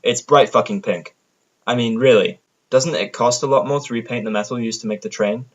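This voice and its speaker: Sardonic male narrator, mid-pitched voice. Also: a little fast, highs cut off. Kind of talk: first-person scripted narration of a fan audio drama.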